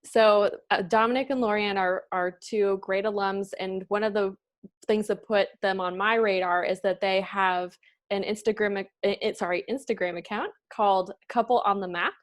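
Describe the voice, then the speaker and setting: A woman's voice: mid-range voice, steady tone, moderate pace. A woman hosting a college podcast interview, friendly and upbeat, laughing often. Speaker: podcast host, career services assistant director.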